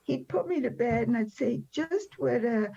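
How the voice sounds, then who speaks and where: A woman's voice: on the high side, expressive, moderate pace, gravelly, warm tone, husky. A woman in her seventies telling personal stories as an interview guest, conversationally, in a candid, wry and emphatic way. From born in France. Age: 70s. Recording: dull, lo-fi sound.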